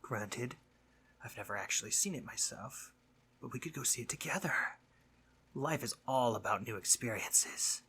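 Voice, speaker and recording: A man playing a mischievous but concerned sleep demon in a roleplay audio monologue, husky and conversational, earnest and teasing, sometimes dropping to breathy near-whispers. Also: medium pitch, animated, moderate pace, a bit bright, a little hiss or hum, lightly acted. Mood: coaxing, teasing.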